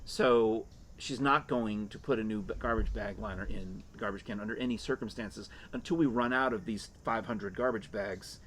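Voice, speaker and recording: A man's voice: lowish voice, moderate pace, hardly any pauses, a bit bright. An older man chatting casually and wryly as a podcast co-host on an outdoor porch. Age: 50s or 60s. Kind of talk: casual chat. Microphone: shared desktop microphone on table. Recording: clear ambient noise behind the voice.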